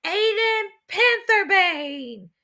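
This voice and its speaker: A hearty old chief of a swamp tribe, played by a woman narrator, proud and ceremonious in a storybook adventure. The voice is high and gravelly, with rustic backwoods grammar and a folksy, speechmaking delivery. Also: lively intonation, extremely slow, sharp tone, full character voice. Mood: ceremonious, proclaiming. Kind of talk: children's adventure audiobook character voice.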